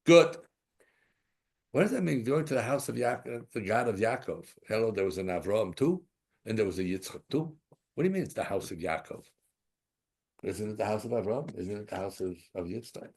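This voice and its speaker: A man giving an informal Torah class, asking rhetorical questions and mixing in Hebrew terms as he drifts into side stories. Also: medium pitch. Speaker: Torah teacher.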